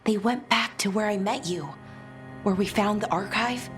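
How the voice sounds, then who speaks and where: A woman acting an anxious, halting young elf heroine. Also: mid-range voice, close to an everyday voice. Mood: anxious, guilty. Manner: halting, hesitant, repeats herself. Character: anxious, earnest, self-blaming young elf woman adventurer. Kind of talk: video game voice acting. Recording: game music behind the voice.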